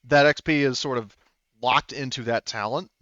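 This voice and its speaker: A man's voice: mid-range voice, fairly even intonation, normal speed, sharp tone, no room sound. A man chatting casually as game master after a tabletop game session, informal and freely swearing, on a slightly dull mic.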